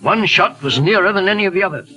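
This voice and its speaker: Older radio detective from the 40s, solemn and kindly, clear forward voice, hissy old recording. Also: male voice, high voice, lively intonation, bright, forceful tone, low-quality recording. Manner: measured, grave, reassuring. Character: kindly, steady older male investigator, solemn. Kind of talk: 1940s radio acting. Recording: hissy 1940s radio recording, faint music.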